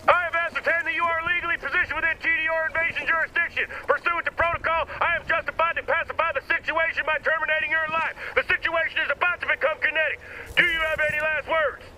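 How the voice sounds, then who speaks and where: Fast, piercing officious corporate soldier. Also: male voice, extremely high pitch, level tone, sharp tone, a little hiss or hum, full character voice, rough recording. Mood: officious menace. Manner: stiff, formal legalese recited by rote. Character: officious corporate soldier, coldly bureaucratic, menacing.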